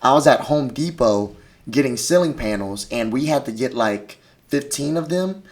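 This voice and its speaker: A man's voice: mid-range voice, lively intonation, normal speed. A man, not an English speaker, hosting a casual chat in slangy, profane speech. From Mississippi, United States. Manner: slangy, profane, says "bro" often. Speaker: podcast host.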